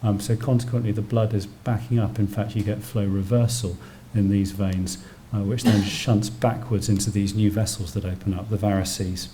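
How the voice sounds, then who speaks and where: A man's voice: lowish voice, flat delivery, normal speed, hardly any pauses, dark tone, slight background noise. A British man giving a public medical lecture as a doctor, explaining technical terms plainly as he goes. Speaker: doctor lecturing on emergency radiology. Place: indoor public lecture venue.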